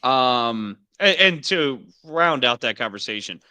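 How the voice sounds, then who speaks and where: Man, bright forceful voice, casual analytical sports talk, dry close sound. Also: medium pitch, animated, measured pace, clean tone, no echo. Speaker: co-host.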